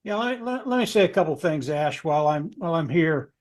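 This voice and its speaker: Male panelist with a notably high voice, folksy and enthusiastic, repeating himself to make the point. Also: expressive, fast talker.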